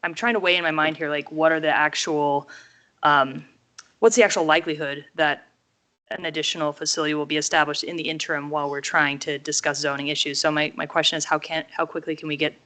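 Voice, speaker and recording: A woman city councilor asking questions in a thoughtful, halting way during council discussion in a council chamber. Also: sits low, fairly even intonation, pushed and bright.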